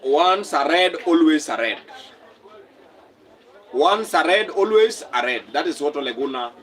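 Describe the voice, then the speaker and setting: A man's voice: fairly high pitch, lively intonation, unhurried, a bit bright. Kenyan man chatting on a live stream. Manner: reads viewer comments aloud and repeats phrases. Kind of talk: casual live-stream chat.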